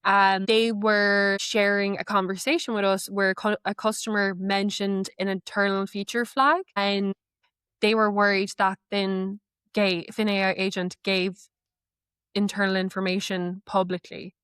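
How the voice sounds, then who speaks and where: A woman gives explanatory answers as an interview guest. Her voice is smooth and clear at a middle pitch, with fairly even intonation, recorded dry. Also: a little slow, no room sound. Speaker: guest, AI knowledge manager.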